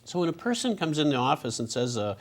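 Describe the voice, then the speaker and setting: A man moderating a medical panel, walking through a patient case slowly with many fillers. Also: on the high side, sing-song.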